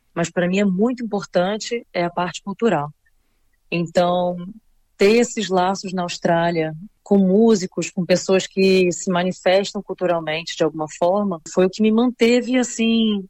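A woman's voice: fairly low pitch, darkish tone, dry recording. A Brazilian woman with a Brazilian accent, reflecting casually on personal experience as an interviewee.

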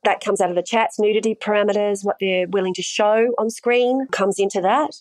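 A woman speaking at a middle pitch, explaining her job in a frank, matter-of-fact way. She laughs easily as she talks. Her voice is recorded close and dry, with no room sound. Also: steady tone, brisk, darkish tone, dry recording. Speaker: intimacy coordinator. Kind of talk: casual interview chat.

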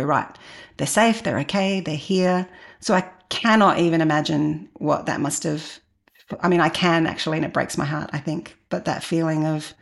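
A woman's voice: low-pitched, creaky. A woman in her forties telling personal stories as an interview guest, reflective and candid in a conversational way. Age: 40s.

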